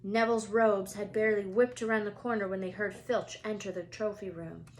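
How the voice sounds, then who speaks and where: A woman storyteller reading a children's book aloud in an expressive style. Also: medium pitch, brisk, a little hiss or hum.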